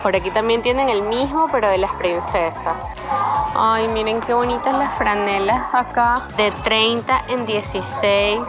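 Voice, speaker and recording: A woman's voice: fairly high pitch, unhurried, rough recording. A young woman gushes over items and reads out prices as she films a shopping tour for viewers in an indoor store. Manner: gushing, exclaims over items while reading out prices. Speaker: travel vlogger. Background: store background music.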